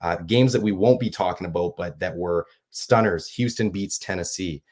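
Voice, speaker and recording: Male sports-show host, very deep, raspy from a cold, rambling casual delivery. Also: moderate pace, a little mellow. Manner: loose and chatty, many fillers and restarts.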